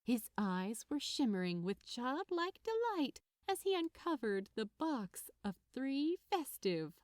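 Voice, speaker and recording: Expressive, unhurried woman narrator. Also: high-pitched, no room sound, studio quality. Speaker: podfic narrator. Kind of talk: scripted narration of light romantic fiction.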